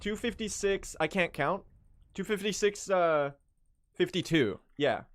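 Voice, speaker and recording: A young Swedish man live-streaming a speedrun, chatting with his viewers in a jokey, self-mocking way and bursting into yells at the game. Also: high voice, unhurried. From Sweden. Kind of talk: live gaming stream chat. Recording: game music loud under the voice.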